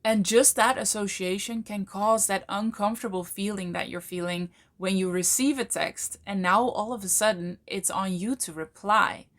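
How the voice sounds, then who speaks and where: A Dutch woman, a native Dutch speaker talking in English, explaining things to camera in a thoughtful way, with many fillers and slow, stretched words for emphasis. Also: mid-range voice, fairly bright tone. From Netherlands. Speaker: channel host, coach. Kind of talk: casual explanatory talk to camera.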